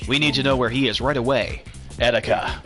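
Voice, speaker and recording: A man voicing a quick, self-assured young female hacker in a game. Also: mid-range voice, over music, close to an everyday voice. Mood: confident.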